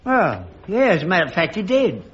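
An actor playing an earnest, credulous elderly London father in a sitcom, insistent and quick to defend himself. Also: high voice, huge pitch swings, fairly bright tone, heavily acted. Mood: defensive. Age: older. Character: credulous, indignant elderly father, rag-and-bone household. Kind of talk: studio sitcom acting. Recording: dull lo-fi archive recording.

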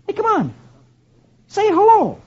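A man voicing an excitable, pleading comic-strip husband in an eager, strained, exclamatory voice for an old radio reading. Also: very high-pitched, unhurried, pitched above the performer's own voice, full character voice. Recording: dull lo-fi old radio, clear noise.

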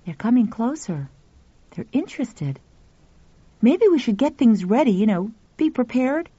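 A woman voicing an excitable, cheerful young boy in a storybook reading, pitching her voice high and eager. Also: unhurried, slightly rough, no room sound, exaggerated voice. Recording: dull, dry lo-fi audio.

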